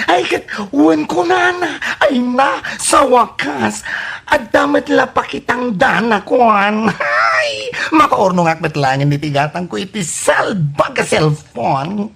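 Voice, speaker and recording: An actor plays an excitable village gossip target from an Ilocano comedy radio drama. The voice is creaky and rough, swinging widely in pitch through dramatic exclamations, with an Ilocano accent. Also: takes it slowly, few pauses, exaggerated voice. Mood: excited.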